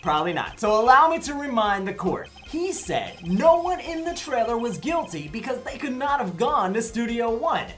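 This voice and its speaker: A woman's voice: on the high side, sing-song, normal speed, hardly any pauses, soft background music. A woman playing a cocky, wisecracking young defense attorney in a comedic courtroom game fan dub, speaking casually with showy sarcasm. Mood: cocky, arguing.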